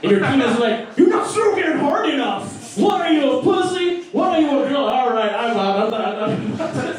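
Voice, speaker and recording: A young Filipino-accented man performs loose, profane stand-up in a small record store, often laughing along with his own punchlines. His voice sits high for a man and lands from a distance. A heavy roomy echo hangs on every word. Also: big pitch swings, moderate pace. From Philippines. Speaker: stand-up comedian. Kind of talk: stand-up set.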